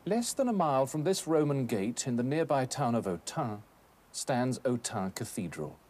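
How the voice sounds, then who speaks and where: A man in his thirties presenting a scripted educational documentary in a measured, articulate, expository manner. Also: medium pitch, big pitch swings, a little mellow. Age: 30s. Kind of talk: scripted educational narration on architecture. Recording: noisy old documentary film soundtrack.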